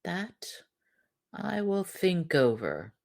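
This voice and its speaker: A woman's voice: lowish voice, big pitch swings, drawn-out words, vocal fry, exaggerated voice. A woman voicing a domineering, greedy fisherman's wife in a storybook, commanding, impatient and haughty.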